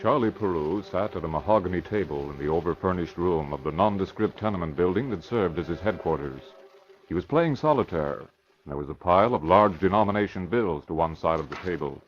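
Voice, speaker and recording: A man's voice: low-pitched, expressive, fairly quick. A man delivering dramatic scripted narration in the style of a 1940s radio crime serial. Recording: dull lo-fi old radio recording.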